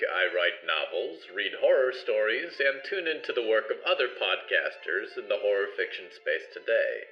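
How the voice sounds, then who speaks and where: A man's voice: lowish voice, reverberant, muffled recording. A man hosting a podcast, speaking in scripted introductions and interview questions.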